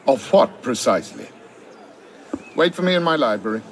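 Expressive, dry older gentleman. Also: mid-range voice, noisy background. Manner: measured, formal, dry. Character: stern, dryly amused older gentleman guardian. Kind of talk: video game cutscene acting.

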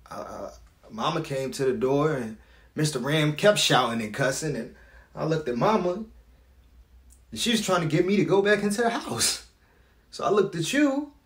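A man's voice: high voice, gravelly, full character voice. A man acting a dramatic stage monologue as a proud, wounded grown son speaking to his father. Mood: pained, intense. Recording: echoey room.

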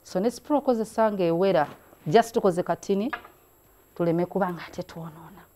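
Middle-aged woman, craft host, low mellow voice, chatty and encouraging, indoor room with a camera mic. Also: fairly quick, a little hiss or hum. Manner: mixes languages, chatty and encouraging. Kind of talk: hands-on craft demonstration.

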